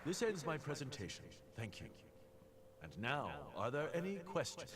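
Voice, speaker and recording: An actor playing a determined older explorer in a video game, speaking formally and showily like a practised orator. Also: male voice, fairly high pitch, very animated, moderate pace. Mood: grand, formal. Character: determined, grandiose older explorer addressing the press. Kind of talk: video game cutscene acting, formal speech. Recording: noisy game audio from a stream.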